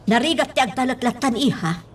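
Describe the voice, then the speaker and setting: A woman's voice: fairly high pitch, animated, fairly quick, slight background noise, natural voice. An actress playing a cautious, worried middle-aged mother in a radio drama. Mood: gently admonishing.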